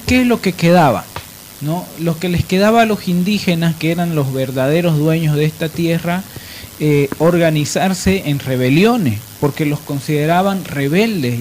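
A man hosting a live radio discussion, explaining didactically and repeating phrases for emphasis. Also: a little high. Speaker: radio talk host. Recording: noisy live radio with steady hiss.

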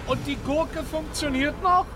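A man's voice: very high voice, a little slow, over music. An actor playing a sardonic, unhinged oceanologist in a radio horror drama, mock-polite and menacing as he taunts people. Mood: menacing, taunting. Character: sardonic, unhinged male oceanologist holding strangers at gunpoint. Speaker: stranded researcher and captor.

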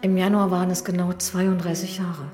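A woman's voice: fairly low pitch, flat delivery, a little fast, darkish tone, close to an everyday voice. Radio drama housekeeper female actor: guarded widow in service, respectful yet evasive, music underneath. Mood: subdued, worried. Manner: deferential, evasive, hesitant. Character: guarded, deferential widowed housekeeper of a village parson. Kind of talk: German radio drama acting.

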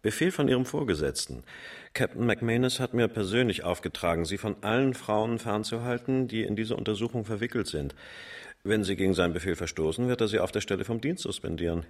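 A low-pitched actor as a middle-aged male investigator in a radio drama. He is dry and businesslike, keeping his phrases clipped and matter-of-fact. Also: a little fast, lightly acted.

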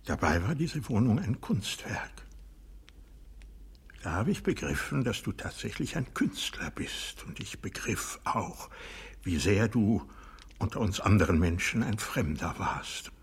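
An actor playing a bitter, proud old aristocratic man in a radio drama, speaking in a husky, breathy voice that often sinks toward a whisper, deliberate and accusing. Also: fairly low pitch, sing-song, exaggerated voice. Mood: wistful, reproachful. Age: older. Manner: deliberate, halting, intense, accusing. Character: bitter, proud old aristocratic man, former hunter, brooding over betrayal. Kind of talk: radio drama monologue acting.

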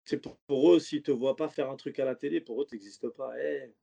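Man, stand-up comedian, gravelly voice, mellow tone, casual slangy rambling talk. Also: medium pitch, a little fast. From French suburbs. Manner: loose, rambling, slangy talk with many restarts. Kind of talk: casual interview chat.

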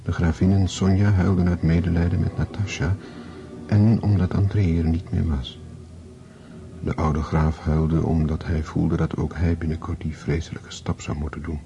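A man narrating a literary radio drama in a measured, serious, scripted manner. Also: sits low, flat delivery, creaky, warm tone, highs cut off, faint music behind.

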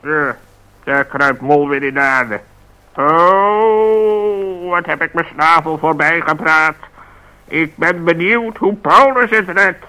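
A man's voice: a little high, slow pace, bright, forceful tone, poor audio. A man playing a fussy, flustered, secretive talking bird in a radio play, exasperated and correcting himself.

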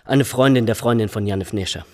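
An actor playing a brisk, businesslike police detective in a radio drama. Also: male voice, fairly low pitch, a little mellow, long reverb tail, natural voice.

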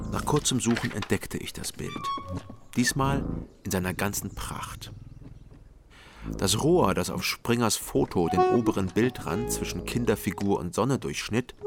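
An actor playing a young male investigator who narrates in a calm, dry, understated way in a radio crime drama. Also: fairly low pitch, natural voice. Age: young adult. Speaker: narrator and detective.

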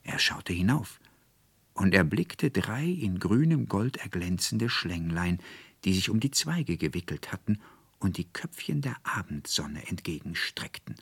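Low, gravelly male storyteller. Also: sing-song, a little slow, no echo. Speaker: audiobook narrator. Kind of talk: expressive literary storytelling.

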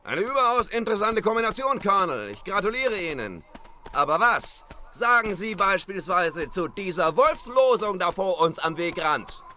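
An actor playing an urbane, eager gentleman explorer, courtly and mannered, in a comic radio play. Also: high voice, very animated, moderate pace, slight background noise, full character voice. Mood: smugly polite.

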